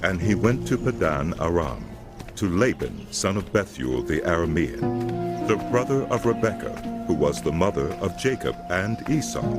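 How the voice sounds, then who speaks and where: A man narrating scripture as a dramatic scripted story, measured and solemn. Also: deep voice. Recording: loud music behind voice.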